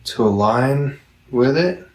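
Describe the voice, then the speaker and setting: A man reviews a product in a casual, meandering way. He speaks slowly at a middling pitch, stopping and drifting off track. The sound is echoey, with the microphone some distance away. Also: lively intonation, a little mellow. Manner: halting, digressive delivery with long pauses. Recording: roomy echo, distant microphone.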